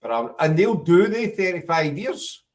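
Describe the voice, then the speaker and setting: A man's voice: a little high, big pitch swings, moderate pace, darkish tone, far from the mic. A Scottish man hosting a casual panel chat, rambling and correcting himself as he talks. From Scotland. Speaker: host.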